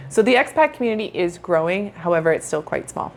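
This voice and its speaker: A woman's voice: fairly low pitch, animated, fast talker. A middle-aged woman from Canada, co-hosting a casual travel chat in an upbeat manner, laughing easily. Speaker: co-host.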